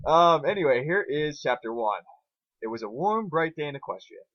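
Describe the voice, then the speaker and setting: A man with a mid-pitched, very animated voice works as reader and co-host. His delivery is an informal dramatic storytelling style. The recording is dry and slightly lo-fi. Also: normal speed, no echo.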